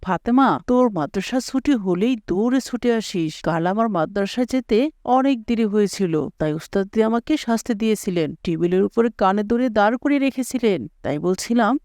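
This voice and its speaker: A woman's voice: mid-range voice, animated, brisk, darkish tone, no room sound, clean studio sound, lightly acted. A woman voicing a caring, protective young village boy in a cartoon. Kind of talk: cartoon character acting.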